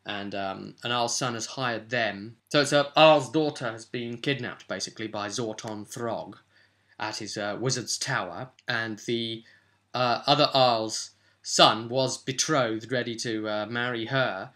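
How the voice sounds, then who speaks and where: A young man with a bright, forward voice at an average male pitch talks casually to a camera at his desk. He is a game master explaining things informally, wandering from one thought to the next as he thinks aloud. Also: a little slow. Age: young adult. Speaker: vlog host, tabletop game master. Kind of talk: casual vlog talk about a role-playing game. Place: small furnished room at home, indoors. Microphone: webcam or camera at desk distance.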